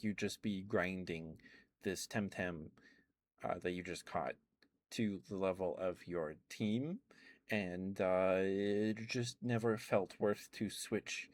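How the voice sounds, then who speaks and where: Deep-voiced man rambling in close, dry ASMR. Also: darkish tone, no echo. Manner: halting, rambling delivery with stammered restarts. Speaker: livestream host. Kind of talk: casual rambling ASMR game chat.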